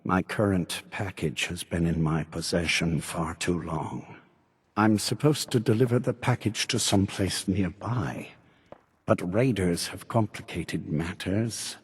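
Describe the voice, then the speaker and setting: A man playing a cagey, businesslike handler in a video game, speaking in a guarded, formal, measured way with a husky voice. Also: low-pitched, long reverb tail, heavily acted. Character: cagey businesslike male handler smuggling a secret package. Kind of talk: video game character dialogue.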